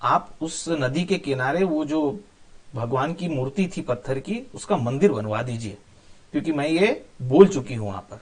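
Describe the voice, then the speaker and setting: Guy reading as a rich pious wife in a folk tale, polite n earnest, slightly high male voice. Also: animated, normal speed. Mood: polite requesting. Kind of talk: moral storytelling.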